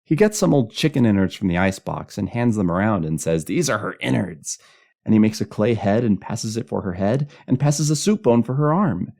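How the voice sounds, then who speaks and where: A man voicing a gleeful, boastful child in a spooky storybook tale, rattling off a list excitedly. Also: fairly low pitch, fairly quick, creaky, voice pushed up, exaggerated voice. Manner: gleeful, boastful, breathless listing. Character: excited, know-it-all child spoiling a spooky game.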